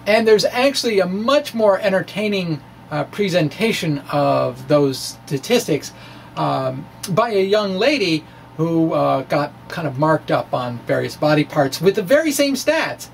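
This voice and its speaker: A man giving an informal, halting reading of his science blog, with wry conversational asides. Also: on the high side, animated, creaky. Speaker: science blogger and presenter. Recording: distant mic with faint background noise.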